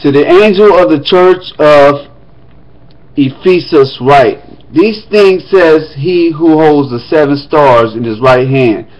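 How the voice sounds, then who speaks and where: A man reads scripture aloud in a steady, measured way. His voice sits somewhat high for a man. The recording is muffled and lo-fi, and he drops into quick, easy asides to his listeners between passages. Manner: steady reading broken by quick, casual spoken asides. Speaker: scripture reader. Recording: dull lo-fi recording.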